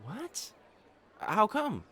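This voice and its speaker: Male actor for a prissy, lovestruck young celestial matchmaker in period romance audio drama: high-pitched, highly animated, pompous, prone to stammering. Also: very slow, breathy edge, exaggerated voice. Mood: scolding, flustered. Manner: pompous, formal diction, stammering when flustered. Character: haughty, flustered, lovestruck young male divine matchmaker in imperial China. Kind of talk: romantic audio roleplay, theatrical monologue.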